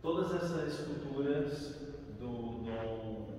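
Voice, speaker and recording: Echoey Brazilian male tour guide, slightly high voice. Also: takes it slowly, darkish tone. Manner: explanatory, often checks with "né". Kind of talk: informal guided tour explanation. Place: large reverberant crypt indoors. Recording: very echoey stone crypt, distant, faint noise.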